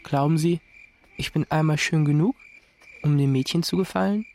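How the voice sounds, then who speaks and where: A man's voice: fairly high pitch, normal speed, vocal fry, a little mellow, a little hiss or hum. An actor playing an earnest, insecure teenage boy who seeks reassurance in a radio play, with a searching, questioning manner.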